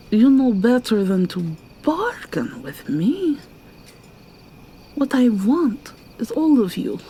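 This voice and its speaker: Woman doing a purring evil vampire mermaid, slow, dark and mellow, taunting, little swoops up high. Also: a little hiss or hum, poor audio. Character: seductive menacing vampire mermaid villainess. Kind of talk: ASMR roleplay.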